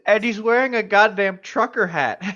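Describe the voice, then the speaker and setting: A man's voice: sits high, measured pace, bright, forceful tone. A man joking around as a co-host in casual banter, swearing freely, on a slightly dull lo-fi microphone.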